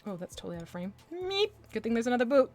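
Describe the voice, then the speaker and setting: A woman's voice: on the high side, big pitch swings, very fast, a little mellow, soft background music. A woman from Texas chatting casually on a livestream as she paints miniatures, rambling with asides and little laughs. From Texas, USA. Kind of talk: casual chat while working.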